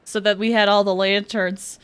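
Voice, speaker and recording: A woman's voice: mid-range voice, little pitch movement, moderate pace, a bit bright, clean tone. A young adult woman giving casual, playful live commentary on a game, with sing-song exclamations. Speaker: game streamer.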